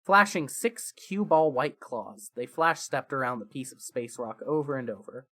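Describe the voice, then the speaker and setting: A narrator reading scripted fan fiction as a dramatic story, with deliberate pauses. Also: medium pitch, expressive, a bit bright.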